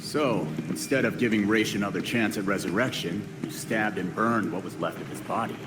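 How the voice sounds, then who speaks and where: A man performs a stern vigilante for a game cutscene. He sounds suspicious and terse, fairly low in pitch, with clear ambient noise behind him. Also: moderate pace, natural voice. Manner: terse, challenging. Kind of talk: video game cutscene acting.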